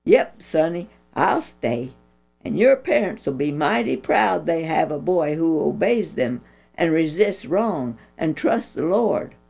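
A woman voicing a big, kindly policeman who speaks calmly and folksily. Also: low-pitched, takes it slowly, vocal fry, soft background music, exaggerated voice. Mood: reassuring. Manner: calm, folksy, reassuring. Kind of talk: storybook reading.

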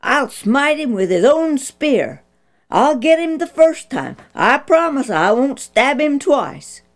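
A woman's voice: high voice, sing-song, slow, voice pushed up, full character voice. A woman voicing an eager young male warrior, breathless and pleading in a storybook reading. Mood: excited.

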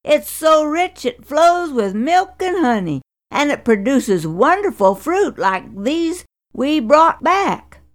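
Excited spies back from a journey in a children's Bible story, glowing with enthusiasm, as a woman reads them. Their voices lift high and bounce around. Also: slow, few pauses, heavily acted.

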